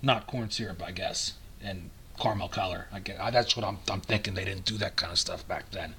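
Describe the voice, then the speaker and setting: An older man with an American accent and a husky voice, reading aloud and chatting in a rambling, nostalgic way. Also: deep voice, a little fast, pushed and bright, slight background noise. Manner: rambling, nostalgic, conversational asides. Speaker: amateur reader and host. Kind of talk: dramatic reading of old recipes with casual reminiscing.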